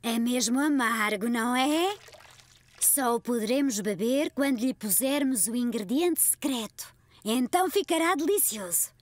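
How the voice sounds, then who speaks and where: A woman's voice: on the high side, animated, a little slow, no room sound. A woman with a European Portuguese accent voicing a kind, patient grandmother in a dubbed cartoon, speaking in an encouraging way. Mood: gentle.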